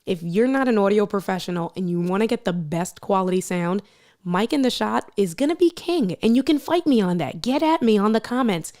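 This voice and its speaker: A woman with a strong, loud voice hosting a live stream. She tests microphones and talks straight to her viewers in an energetic, playful way. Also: medium pitch, brisk. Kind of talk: casual live stream chat. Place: room with little furniture. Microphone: switching between test microphones at different distances.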